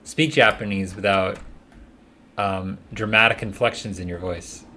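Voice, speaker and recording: A young man chatting casually with a friend while they play a game, laid-back and quick to laugh. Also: low voice, fairly even intonation, pushed and bright, slight background noise. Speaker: friend co-playing on stream. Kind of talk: casual gaming chat.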